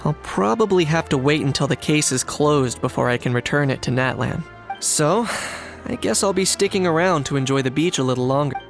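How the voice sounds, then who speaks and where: Easygoing young investigator, lightly high pitch, fry in the voice, anime game, faint music. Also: male voice, expressive, brisk. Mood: relaxed. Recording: music behind the voice.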